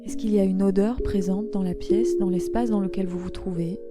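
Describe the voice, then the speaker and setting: A woman guiding a relaxation meditation in a soothing, gentle way, leaving pauses between her invitations. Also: mid-range voice, fairly even intonation. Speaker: yoga nidra guide. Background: meditative music with ringing tones.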